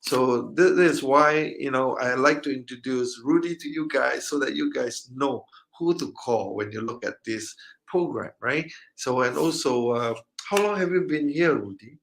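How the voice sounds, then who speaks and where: A man hosting a casual interview, upbeat and halting, with frequent fillers. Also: medium pitch, gravelly, a bit bright. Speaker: interview host.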